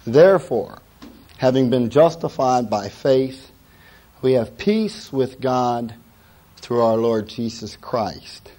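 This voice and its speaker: A hoarse middle-aged man preaching from the pulpit, his voice worn down by a cold. His tone is dark and mellow. He talks in a folksy way, full of asides and "uh" fillers. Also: medium pitch, animated, slow pace. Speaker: pastor. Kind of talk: sermon.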